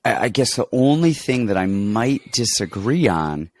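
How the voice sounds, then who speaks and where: A deep-voiced man, stuffed up and congested, rambles through a casual fight breakdown. He tells animated stories full of restarts and asides. The sound is close and dry, with no room around it. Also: a little slow, no echo. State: congested, getting over an illness. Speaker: podcast co-host and fight coach. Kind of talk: casual sports betting chat.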